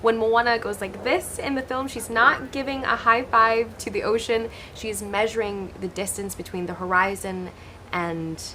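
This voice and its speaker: A woman in her twenties from Hawaii, an actress answering interview questions in an earnest, expressive way with frequent restarts. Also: fairly high pitch, normal speed, a little hiss or hum. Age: 20s.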